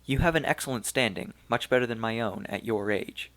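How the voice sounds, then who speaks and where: A man voicing an elderly, weary archaeologist of Turco-German origin, speaking slowly and regretfully in a gravelly voice. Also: on the low side, a bit bright. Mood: gently doubtful. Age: older.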